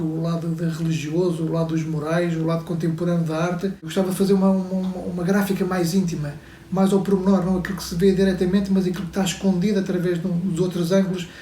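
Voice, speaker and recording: A man's voice: high-pitched, warm tone. A man from Portugal speaking reflectively in an interview, with repeated false starts. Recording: echoey, distant, faint noise.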